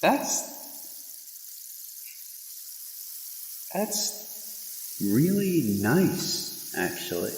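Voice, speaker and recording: Man acting a halting, wry, doomed man, echoey. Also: medium pitch, sing-song, vocal fry, exaggerated voice. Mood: puzzled. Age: young adult. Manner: halting, awkward, sardonic. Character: nervous, wry doomed man facing his collector. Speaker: student voice actor. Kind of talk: contemporary radio drama acting. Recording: echoey reverberant room with faint noise.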